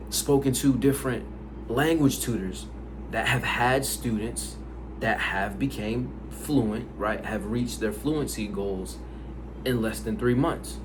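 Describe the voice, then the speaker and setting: Chatty man vlogging over background noise. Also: medium pitch. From United States. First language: Haitian Creole. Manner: rambling, filler-heavy, conversational. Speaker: language-learning vlogger. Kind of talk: casual talk to camera. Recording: noisy background.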